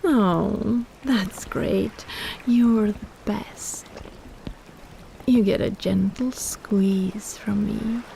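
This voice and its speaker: A woman's voice: medium pitch, very slow, vocal fry, mellow, slight background noise. A woman playing a possessive, teasing kraken in a roleplay audio drama, cooing and bossing like a doting big sister. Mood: coaxing, possessive.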